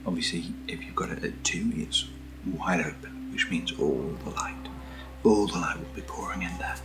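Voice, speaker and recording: A British man speaking softly in a breathy near-whisper, giving an informal, slightly hesitant ASMR product walkthrough as a keen enthusiast. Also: sits low, normal speed, music in the background. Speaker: ASMR host. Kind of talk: soft-spoken ASMR product unboxing.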